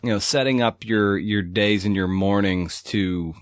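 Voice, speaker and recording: A man's voice: on the low side, measured pace, dry recording, clean studio sound. A man hosting a podcast, asking questions in a casual, informal and somewhat rambling way. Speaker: podcast host interviewing. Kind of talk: casual interview chat.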